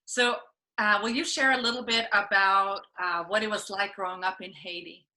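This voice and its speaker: A woman hosts a casual interview in a bright, forward voice. She is encouraging toward her guest and laughs easily between questions. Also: mid-range voice, steady tone, moderate pace, poor audio. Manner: warm, encouraging, laughs often. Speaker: interviewer host.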